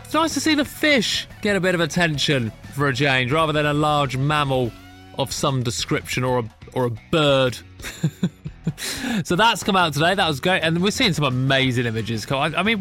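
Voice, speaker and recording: A man's voice: mid-range voice, expressive, a little fast, pushed and bright, faint music behind. A young British man hosting a nature podcast in a chatty, self-mocking way, laughing at his own slips. He sounds bunged up from a cold. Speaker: podcast host. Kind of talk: casual chat.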